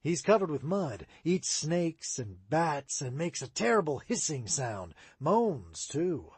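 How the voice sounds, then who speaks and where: A man doing a grown townsman in a storybook, talking low and confiding as he spins a spooky local tale. The voice has a slightly husky edge and swings up and down as the story builds. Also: very animated, slow pace, no room sound, exaggerated voice.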